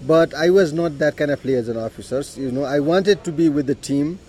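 Mellow Nepali-accented man, unhurried. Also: a little high. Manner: unhurried, frequent "you know" and "uh" fillers, occasional throat clearing. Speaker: interviewee recounting his mountaineering career. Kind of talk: casual spoken life story.

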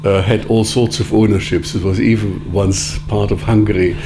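Measured low-voiced man, noisy background hum. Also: flat delivery. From Germany. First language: Sorbian. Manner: measured, deliberate, with frequent pauses and fillers. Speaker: interviewee, editor of philosophical writings. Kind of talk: reflective interview answers.

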